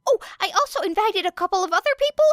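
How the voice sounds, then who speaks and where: Eager, apologetic teen boy hero student, put on by a woman reader; high and bouncy, dry close sound. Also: lively intonation, quick pace, a bit bright, no echo. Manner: eager, apologetic rambling, tripping over himself. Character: nervous rambling teenage boy hero student. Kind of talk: audiobook character voice.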